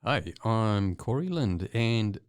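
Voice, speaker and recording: Kiwi man, deep voice, relaxed hesitant interview guest. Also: takes it slowly, clean tone, no room sound, studio quality. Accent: New Zealand. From New Zealand. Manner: relaxed, hesitant with many ums, chuckles often. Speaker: guest artist. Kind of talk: casual interview chat.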